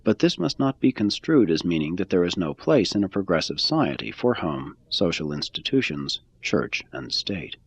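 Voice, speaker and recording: A man reading a religious text aloud in a measured, even-paced scripted style. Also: deep voice, breathy edge. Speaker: reader.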